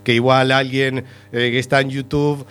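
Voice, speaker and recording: A man's voice: mid-range voice, little pitch movement, moderate pace, fairly bright tone, clean tone. Male radio host, easygoing and chatty, medium voice, faint music behind him. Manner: conversational, chimes in with short prompts. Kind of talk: casual interview chat.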